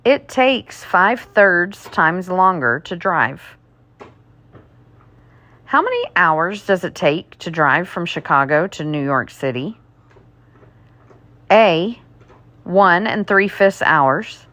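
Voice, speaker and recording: A woman teacher reading math test questions aloud, deliberately, item by item. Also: on the low side, big pitch swings, a bit bright, no echo.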